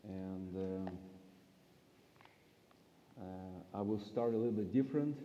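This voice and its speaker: A man's voice: deep voice, slow, in a large hall, dull audio, a little hiss or hum. A man with a Russian accent, a guest preacher giving a friendly, joking sermon with many fillers and reading scripture.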